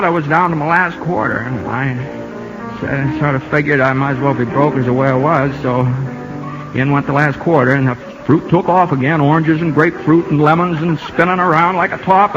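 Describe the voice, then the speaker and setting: An actor playing a shy, naive young country ballplayer in a radio drama, polite and earnest, with a halting, hesitant delivery. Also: male voice, on the high side, lightly acted. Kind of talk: 1950s radio drama acting. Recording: muffled 1950 radio broadcast with music.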